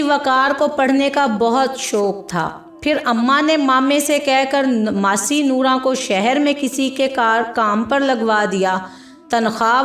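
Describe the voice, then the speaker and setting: A woman's voice: a little high, echoey room. A woman narrating a scripted story in a dramatic storytelling style.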